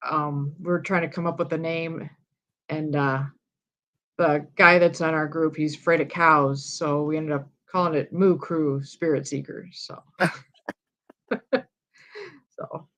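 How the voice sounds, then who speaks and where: A woman's voice: low-pitched, fairly even intonation, measured pace, long pauses, fairly bright tone. A woman from Iowa, a guest on a livestream talking over a video call in an easygoing way, laughing often and giving short replies. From Iowa, USA. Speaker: guest, paranormal investigator. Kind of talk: casual chat on a video call.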